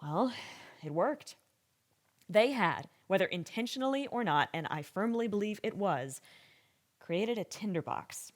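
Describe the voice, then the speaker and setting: A woman playing a wry, candid government scientist giving conversational interview testimony with deliberate pauses in an audio drama. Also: mid-range voice, lively intonation. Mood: earnest, frank.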